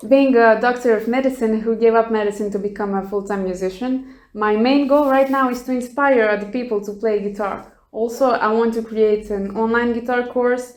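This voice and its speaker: A woman's voice: a little high, steady tone, normal speed, darkish tone. Casting: female musician, Serbian accent, interview guest, roomy echo on the voice. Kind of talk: interview answers.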